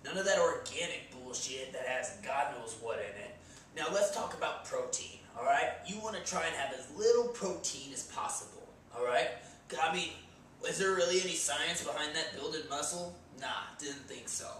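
A man's voice: on the high side, animated, moderate pace, fairly bright tone, slight background noise. A young man in his twenties hosting a comedy sketch, giving mock gym advice with cocky meathead bravado. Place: small indoor room against a bare wall. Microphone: far camera. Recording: echoey room from far off.

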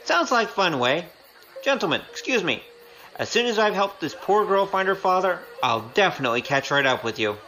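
A woman's voice: on the low side, very animated, moderate pace, a bit bright, slight background noise, low-quality recording. A woman playing a wry, flippant young male rogue in an audio drama. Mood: sarcastic.

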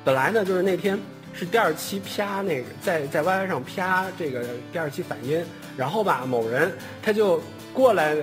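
A man's voice: sits high, animated, normal speed, few pauses. A man in a casual online cast chat, talking hesitantly and repeating his phrases. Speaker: voice actor at a cast chat, later reading questions. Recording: music behind the voice.

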